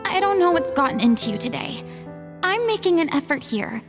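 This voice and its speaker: A woman voicing a melancholy, theatrical young former goddess in a video game, speaking in a wistful, measured way. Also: extremely high pitch, very animated. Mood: exasperated. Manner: wistful, measured, dramatic delivery. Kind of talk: dramatic video game voice acting. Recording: background music behind the voice.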